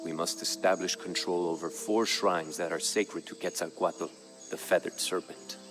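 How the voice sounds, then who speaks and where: Casting: male actor for noble Aztec jaguar warrior narrator, low register, solemn and measured, game cutscene storytelling over music. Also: steady tone, natural voice. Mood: grave, solemn. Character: grave Aztec jaguar warrior, royal nephew, recounting events. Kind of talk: scripted dramatic narration for a game.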